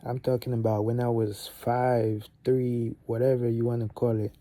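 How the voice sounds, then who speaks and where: A man's voice: mid-range voice, moderate pace, no room sound. A young man from Gabon, a native French speaker, giving a casual motivational talk to camera, rambling with fillers and restarts. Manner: rambling, many fillers and restarts, animated on key points. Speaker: vlogger.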